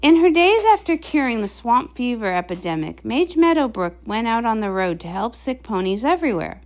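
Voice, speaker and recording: Animated woman narrating a storybook. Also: a little high, normal speed. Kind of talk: expressive storybook narration of a comic.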